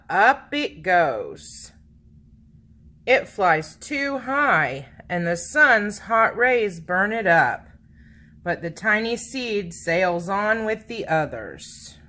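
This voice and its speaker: A woman reads a picture book aloud for children in a bright, forward voice. Her pitch swings expressively while her pace stays measured, and she stretches key words for dramatic emphasis. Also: very animated. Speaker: children's storybook reader.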